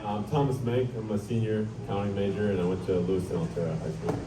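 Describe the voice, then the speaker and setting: A college senior in his early twenties answering questions in a relaxed way with fillers. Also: fairly low pitch, fast talker, recorded from a distance, noisy background. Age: early 20s. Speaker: college basketball player. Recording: echoey hall from afar with crowd.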